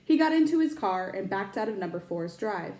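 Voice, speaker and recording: A woman teacher reading a children's storybook aloud, expressive and stopping to explain words to young listeners. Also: mid-range voice, fairly quick, roomy sound.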